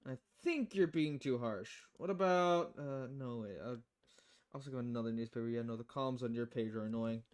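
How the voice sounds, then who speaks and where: A man's voice: medium pitch, fairly quick, a little mellow, clean tone, voice pushed down, natural voice. A man voicing a level-headed, wry bartender in a visual novel, speaking in a restrained, polite way. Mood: hesitant.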